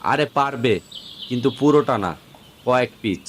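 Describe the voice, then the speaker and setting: A man's voice: medium pitch, moderate pace, slight background noise, close to an everyday voice. A man voicing a patient, indulgent father in a cartoon. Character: patient, indulgent father guiding his small child. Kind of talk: cartoon voice acting.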